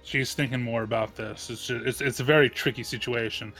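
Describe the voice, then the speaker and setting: A man's voice: medium pitch, steady tone, a little fast, pushed and bright, faint music behind, rough recording. A man playing a tabletop game, talking casually and searching for his words as he explains his character. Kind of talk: casual roleplay table talk.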